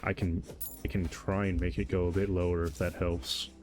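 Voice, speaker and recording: A man's voice: deep voice, level tone, normal speed, over music. A man in his thirties with a light voice, chatting casually while streaming a game and rambling through answers to his chat.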